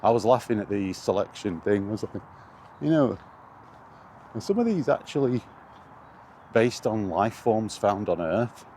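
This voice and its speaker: Low-voiced man chatting outdoors, noisy background. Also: expressive, a little slow, long pauses, dark tone. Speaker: video game vlogger. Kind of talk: rambling casual chat. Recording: clear outdoor background noise.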